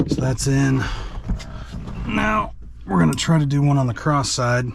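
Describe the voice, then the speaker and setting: A man's voice: medium pitch, animated, unhurried, gravelly, background noise. A man giving a casual hands-on tutorial in a plainspoken way, thinking aloud as he works. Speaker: host and truck driver demonstrating an installation.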